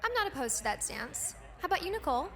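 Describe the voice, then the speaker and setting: Game role: cool high school friend, high register, animated intonation, dryly matter-of-fact. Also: female voice, quick pace, heavily acted. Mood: dry, curious. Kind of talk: visual novel game voice acting.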